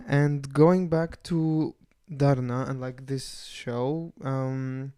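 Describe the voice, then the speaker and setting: Young man, casual mid-pitched radio co-host. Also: fairly even intonation, extremely slow, dark tone, smooth. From Saudi Arabia, Egyptian family. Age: 20s. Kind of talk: casual, conversational talk show.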